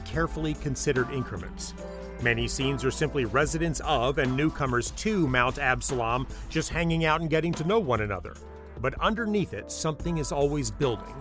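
A man hosting a podcast, reading a scripted introduction to an audio drama. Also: mid-range voice. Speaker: podcast host. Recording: music under the voice. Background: music.

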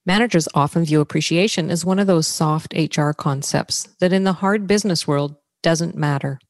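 A woman with a low, steady voice hosts a business podcast. She reads through prepared introductions and figures in a measured way. The sound is dry and close, with no room around it. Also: no echo. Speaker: podcast host.

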